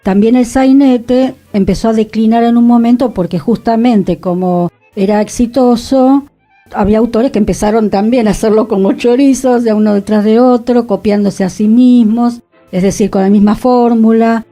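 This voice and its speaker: A woman, the director and co-host of a radio show, talking in a thoughtful, unhurried way. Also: on the high side, mellow, faint music behind. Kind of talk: relaxed radio conversation.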